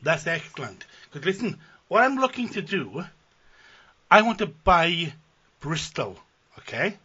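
A man with a Welsh accent making a prank phone call, deadpan and mock-earnest about absurd plans. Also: on the high side, measured pace, breathy edge. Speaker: prank caller. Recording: dull lo-fi audio.

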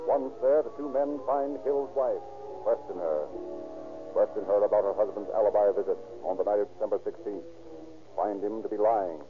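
A man giving formal, measured, portentous scripted narration in the manner of 1930s radio drama. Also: lowish voice. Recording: tinny old radio recording with music.

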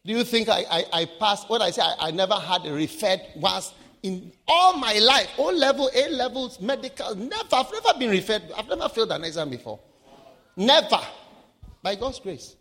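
A man from Africa preaching a sermon, swinging between shouted lines and low, quiet asides. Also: very animated, moderate pace. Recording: live sermon with long hall reverb.

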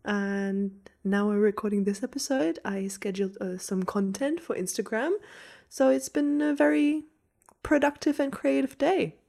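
A woman's voice: mid-range voice, moderate pace, creaky, darkish tone. A young woman co-hosting a podcast, chatting casually with her co-host and laughing often. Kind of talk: casual chat.

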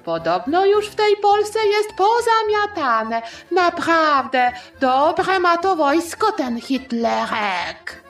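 Lady doing a super high squeaky sly politician guy, wheedling, swoopy and slow, satire play. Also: big pitch swings, fairly bright tone, soft background music, heavily acted. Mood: sly, agreeable. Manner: wheedling, evasive, hesitant. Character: timid, flattering, sly male politician, silly and squeaky. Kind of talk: satirical children's audio play.

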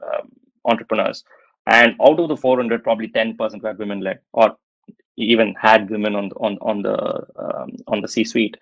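A man's voice: lowish voice, no room sound, highs cut off. Hesitant man with dry close sound. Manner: hesitant, many fillers and restarts. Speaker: venture capital guest. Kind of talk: conversational interview answer.

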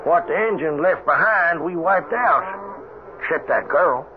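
An actor playing a folksy, superstitious cowhand with a rustic American Western drawl in an old-time radio drama. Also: male voice, fairly high pitch, animated, a little slow. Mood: troubled. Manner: drawling rustic cowboy talk. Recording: tinny, noisy old radio recording.

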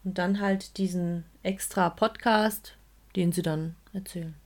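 A woman's voice: fairly low pitch, steady tone, measured pace, darkish tone. A woman co-hosting an unscripted chat, chiming in with short, agreeing additions. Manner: agreeing, adding short remarks. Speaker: podcast co-host.

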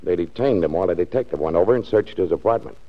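Low, animated, skeptical district attorney. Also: male voice, fast talker. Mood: matter-of-fact. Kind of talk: 1940s radio drama acting. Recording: muffled 1940s radio recording.

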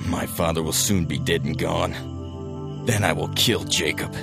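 An actor playing an emphatic, hot-tempered eldest son, a hunter, in a dramatized audio Bible. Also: male voice, low voice, steady tone, normal speed, gravelly, over music. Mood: vengeful. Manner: emphatic, emotional delivery.

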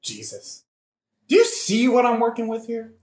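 A man's voice: very high-pitched, huge pitch swings, moderate pace, a little mellow, exaggerated voice. A man playing an angry, suspicious young son out for revenge in a satirical audio play, sounding sullen and sardonic. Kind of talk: modern-language satirical audio play acting. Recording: roomy echo.

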